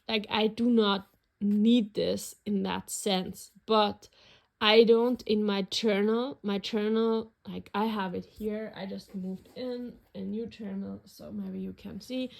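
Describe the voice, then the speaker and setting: A woman's voice: medium pitch, creaky. A woman whose first language is German, casually narrating a journal flip-through in a rambling, hesitant way with many fillers. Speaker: journaling vlogger.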